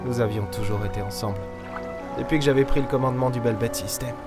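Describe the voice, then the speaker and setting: A man's voice: medium pitch, fast, lightly acted. Man as brooding starship captain over music. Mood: sombre, wistful. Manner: short, broken, weighty phrases. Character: world-weary male starship captain, brooding and bitter. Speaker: lead character and narrator. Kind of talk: dramatic audio fiction narration. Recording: music behind the voice.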